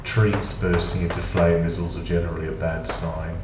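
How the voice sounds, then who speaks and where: A man's voice: low voice, flat delivery, moderate pace. A man with a British accent giving casual, rambling gameplay commentary with dry, self-mocking asides. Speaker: gaming channel host. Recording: echoey room, distant mic, noisy.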